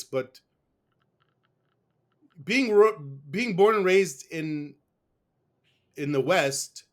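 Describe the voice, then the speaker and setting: A man's voice: a little high, a bit bright. A man being interviewed, telling a long personal story in a rambling, casual way. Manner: rambling, with restarts and fillers. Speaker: guest. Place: West.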